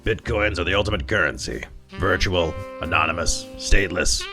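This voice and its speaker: A man acting a zealous, self-righteous police detective, narrating a noir parody with deadpan, dramatic emphasis. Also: deep voice, moderate pace, natural voice. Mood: earnest. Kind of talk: hardboiled first-person noir parody narration. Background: music.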